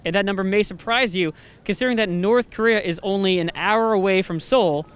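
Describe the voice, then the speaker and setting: A man's voice: sits high, brisk, a bit bright, clear, resonant tone. Upbeat young man interviewing on the street. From United States. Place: city street outdoors.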